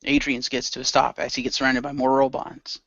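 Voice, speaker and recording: A man narrating an action radio play in a casual, halting, improvised style. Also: medium pitch, little pitch movement, fairly bright tone, no echo, dull audio.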